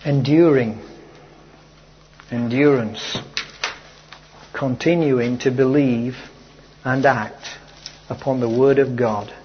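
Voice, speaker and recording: A man preaching a sermon to his congregation, speaking slowly and emphatically with repeated phrases, while he is losing his voice. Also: mid-range voice, highs cut off, a little hiss or hum. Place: church hall with a congregation.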